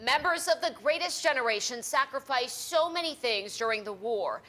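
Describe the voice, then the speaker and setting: A woman anchoring a television news special, reading scripted lines in a crisp, polished way. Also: sits high, expressive, normal speed, bright, forceful tone.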